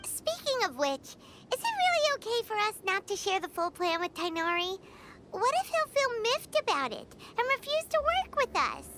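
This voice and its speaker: A woman voicing a tiny, childlike fairy companion in a video game, squeaky, excitable and naive. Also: moderate pace, very noisy. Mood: unsure, worried. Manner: refers to herself in third person. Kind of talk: video game cutscene acting. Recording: loud game music underneath.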